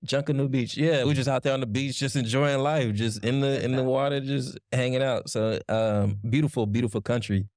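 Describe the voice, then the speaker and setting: A man hosting a podcast, chatting in a relaxed, slangy way. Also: mid-range voice, moderate pace, hardly any pauses, no room sound, clean studio sound. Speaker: podcast host. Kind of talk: casual interview chat.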